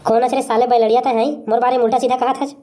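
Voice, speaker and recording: Woman as a high-pitched, cranky old village man. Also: flat delivery, very fast, mellow, lightly acted. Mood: angry, threatening. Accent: Chhattisgarhi. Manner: grumbling, threatening, colloquial. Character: irritable, liquor-greedy old village man. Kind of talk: comic cartoon dialogue.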